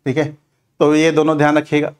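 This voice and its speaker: Man, fairly high voice, coaching teacher, question-and-answer revision, instructive, repeated check phrases. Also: completely flat delivery, fairly quick, clean tone.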